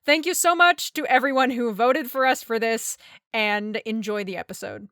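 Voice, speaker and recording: A woman's voice: on the high side, normal speed, background noise. A woman giving a spoken announcement to listeners as a podcast announcer. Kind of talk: spoken announcement before an episode.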